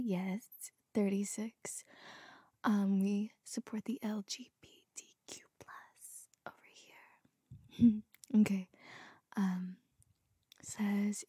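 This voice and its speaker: Slow husky whispering woman. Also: medium pitch, no room sound, clean studio sound. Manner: soft whispering, giggly, tearful asides. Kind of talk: whispered reading of posts, ASMR.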